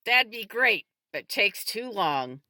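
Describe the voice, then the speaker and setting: A woman voicing a capable, practical female medic, brisk and directive yet calm, in an audiobook. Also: medium pitch, dry recording, studio quality, voice pushed up, full character voice. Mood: commanding.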